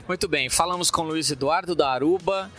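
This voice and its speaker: A man's voice: on the high side, a little fast, a bit bright. A man hosting a podcast interview, asking plain, direct questions. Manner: plain, questioning, brief affirmations. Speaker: podcast host. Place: conference event venue.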